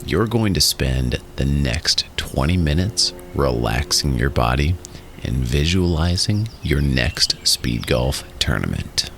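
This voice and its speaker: Very deep-voiced man guiding a slow meditation. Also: very animated, vocal fry, fairly bright tone. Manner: soothing, instructional, short phrases with pauses. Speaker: guided meditation host. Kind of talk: guided meditation and hypnosis narration. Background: music.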